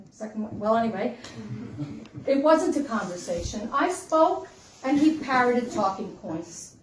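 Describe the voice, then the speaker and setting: A woman's voice: fairly high pitch, slight background noise. A woman in her sixties from the Dominican Republic, giving an earnest, emphatic campaign speech at a meet and greet. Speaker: congressional candidate. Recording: echoey room, mic from far off.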